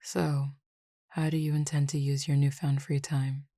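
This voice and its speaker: A woman voicing a composed, formal supernatural cupid roommate who speaks in precise, measured words and teases gently. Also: low-pitched, flat delivery, no room sound, studio quality. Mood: calm. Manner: precise, formal wording, measured and unhurried, soft asides. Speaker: voice actor in an audio roleplay. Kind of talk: audio roleplay addressed to the listener.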